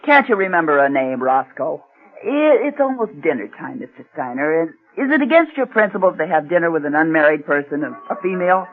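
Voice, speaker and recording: An actress playing a brisk, teasing, forthright woman politician in a radio drama, direct and wry. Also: low voice, very animated, phone-quality sound.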